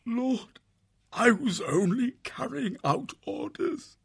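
A man's voice: very high-pitched, lively intonation, slow pace, creaky, husky, pitched above the performer's own voice, heavily acted. A man voicing a terrified male wildcat captain, a bound prisoner, in a trembling, pleading tone.

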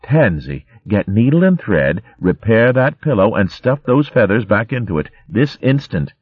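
A man's voice: fairly low pitch, big pitch swings, breathy edge, no room sound, narrow, tinny audio, pitched above the performer's own voice. A man voicing a stern female mouse nurse who scolds and gives orders. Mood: scolding. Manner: scolding, brisk commands.

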